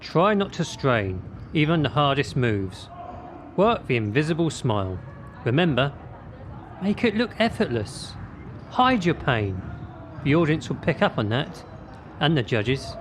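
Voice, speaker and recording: An actor playing a critical, controlling gymnastics coach in a radio drama, measured and condescending. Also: male voice, a little high, very animated, a little hiss or hum. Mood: instructive.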